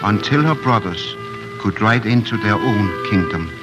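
A man's voice: on the low side, lively intonation, unhurried, lo-fi sound. A man narrating a fairy tale in solemn, lyrical 1940s radio drama style. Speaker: storyteller narrator. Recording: old radio recording with music behind.

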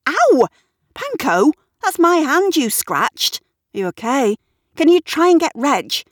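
A woman's voice: lowish voice, big pitch swings, moderate pace, no room sound, clean studio sound. A woman voicing a bossy, exasperated woman at home in an audiobook story.

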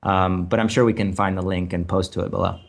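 A man's voice: low-pitched, little pitch movement, fast talker, vocal fry. A man co-hosting a casual podcast, explaining tools and data in an analytical way with many ums and restarts. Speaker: podcast co-host. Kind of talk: casual chat.

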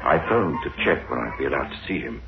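Tormented confessor, 1960s radio horror. Very deep, halting, grave narration; dull vintage sound. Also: male voice, expressive, creaky, heavily acted. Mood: stunned, brooding. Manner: halting, grave, dramatic delivery. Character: haunted, guilt-ridden man confessing his dark premonitions. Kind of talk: 1960s radio horror drama acting, first-person narration. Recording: dull old radio recording with music.

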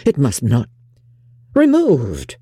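An audiobook narrator voicing an eager, impulsive, courteous young gentleman from a period novel in an emphatic, earnest way. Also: medium pitch, very animated, moderate pace, slightly rough, no echo, clean studio sound. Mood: alarmed.

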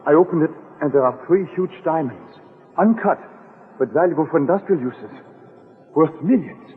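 A nervous immigrant diamond cutter, acted by a man in a vintage radio adventure. His is halting and earnest, pitched a little high. The sound is reverberant and very lo-fi. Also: expressive, slight background noise, lightly acted. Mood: anxious, confiding. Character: anxious European immigrant diamond cutter, male, pleading. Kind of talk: 1940s radio adventure acting. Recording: reverberant, muffled old radio recording.

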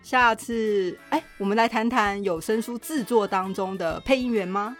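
A woman's voice: medium pitch, normal speed, faint music behind. A woman with a Taiwanese Mandarin accent co-hosting a casual podcast in a conversational, inquisitive way. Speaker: podcast co-host.

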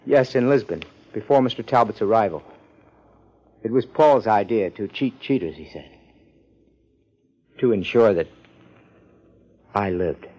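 Earnest, low-voiced young heir. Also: male voice, animated, darkish tone. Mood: subdued. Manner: formal, earnest, measured. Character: earnest, subdued twenty-one-year-old male millionaire heir. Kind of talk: 1950s radio drama acting. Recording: muffled 1950s radio recording.

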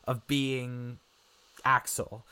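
A man interviewing a guest for a podcast in a casual, informal and somewhat halting way. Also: medium pitch, little pitch movement, sharp tone, clean tone. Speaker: interviewer, podcast series creator. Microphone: remote internet call interview.

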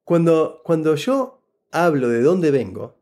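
Animated Argentine man with a lively voice. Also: on the high side, slow. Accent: Argentine Spanish. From Buenos Aires, Argentina. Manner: animated and explanatory, often checks in with the listener. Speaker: interview guest giving job-interview advice. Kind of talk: casual conversational storytelling.

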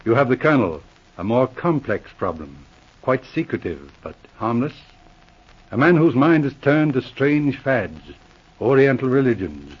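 An actor playing a patient, methodical Mountie sergeant who teases dryly, in 1940s radio acting. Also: male voice, mid-range voice, very animated, measured pace, slightly rough. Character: methodical, dry-witted male Mountie sergeant. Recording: dull lo-fi old radio broadcast.

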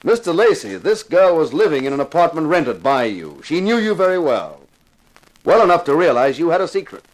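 An actor playing an intense television detective in a 1950s radio crime drama, narrating and questioning in a deliberate, insistent way. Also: male voice, fairly high pitch, very animated, rough recording. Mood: stern, pressing.

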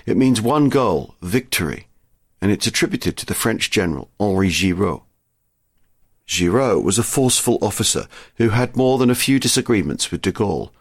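A man's voice: lowish voice, breathy edge, no echo, clean studio sound. A man narrating a scripted history programme as its host.

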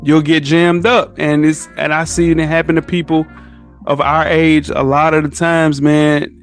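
Man, Southern US accent, slightly high pitch, slangy, emphatic, casual podcast talk, music underneath. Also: flat delivery, normal speed. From southern United States. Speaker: podcast guest. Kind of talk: casual chat.

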